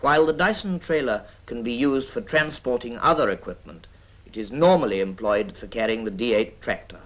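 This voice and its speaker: A British man reads scripted 1950s military training film narration in a measured, instructive manner with dry asides. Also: mid-range voice, fairly bright tone. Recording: dull vintage 1950s film soundtrack.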